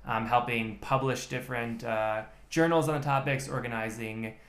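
A man's voice: medium pitch, fairly even intonation, moderate pace, a bit bright. A man, a podcast guest telling his story in a methodical way with many restarts. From United States. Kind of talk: casual interview chat. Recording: echoey room.

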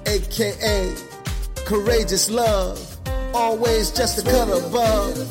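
Woman singing a radio jingle, slow and rhythmic, music loud under her. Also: medium pitch, steady tone. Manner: rhythmic, half-sung delivery. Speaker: show jingle singer. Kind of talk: sung theme jingle.

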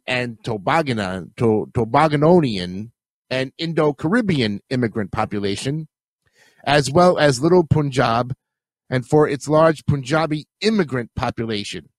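A man talks through a casual solo podcast, his voice stuffy and nasal from a cold. He rambles in a chatty way, correcting himself mid-sentence, at a middle pitch. The recording is close and dry, with no room sound. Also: no echo. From Queens, New York. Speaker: podcast host.

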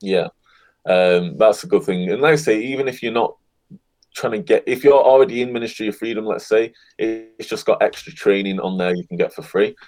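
A man being interviewed as a guest on a podcast, talking in a relaxed, encouraging way. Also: on the low side, a little fast, faint music behind. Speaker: guest, affiliate marketer. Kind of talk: casual interview chat.

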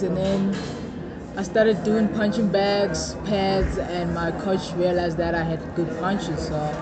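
A young woman from South Africa who grew up in Zimbabwe, chatting casually as an interview guest, laughing often and tagging "hey" onto her sentences. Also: lowish voice. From born in South Africa, grew up in Zimbabwe. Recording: busy crowd noise behind.

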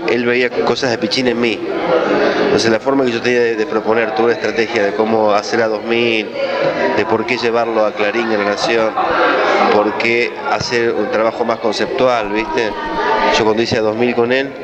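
A man's voice: lowish voice, level tone, normal speed, lo-fi sound, a loud crowd. A man giving an interview, explaining his thoughts in a conversational way as he recalls them. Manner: explanatory, conversational, thinking aloud. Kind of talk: reflective interview recollection.

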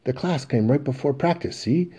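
A man's voice: medium pitch, lively intonation, moderate pace, mellow, breathy edge, pitched above the performer's own voice. A man voicing an earnest teenage athlete pleading with his father in a play reading. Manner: eager, pleading.

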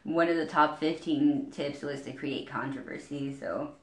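A woman with a notably low-pitched voice chats as a podcast co-host. Her talk is casual and playful, wandering off on tangents. The recording is roomy and echoey. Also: steady tone, measured pace. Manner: playful, rambling, jumps between tangents.

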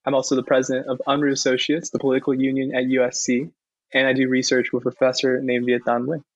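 Young male student guest, rapid-fire and joking, muffled dry line. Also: mid-range voice, monotone. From California, USA. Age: young adult. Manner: joking, quick. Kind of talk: podcast interview.

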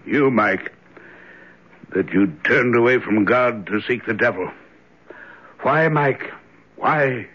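An actor playing an earnest, worried elderly minister and stern father in an old-time radio drama, speaking gravely. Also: mid-range voice, takes it slowly, pauses often. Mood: anguished, outraged. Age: 60s. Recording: dull, band-limited old radio recording.

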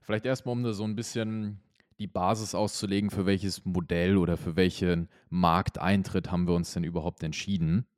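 A man's voice: sits low, fairly even intonation, fairly quick, darkish tone, no room sound, studio quality. A young man in his twenties, a startup co-founder, explaining his business fluently in a podcast interview.

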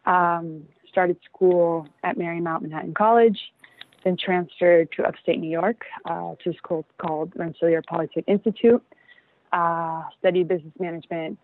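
A woman, answering interview questions casually with many ums and frequent soft laughs. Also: lowish voice, steady tone, normal speed. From Venezuela. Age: 20s. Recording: tinny phone-like line, dry.

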